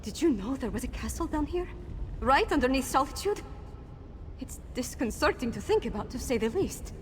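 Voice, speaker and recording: An actress playing a troubled, dutiful young princess in a video game, speaking in an earnest, measured, conflicted way. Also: female voice, sits high, expressive, background noise. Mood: uneasy. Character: troubled young princess, betrayed by her royal father, dutiful. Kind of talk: video game quest dialogue.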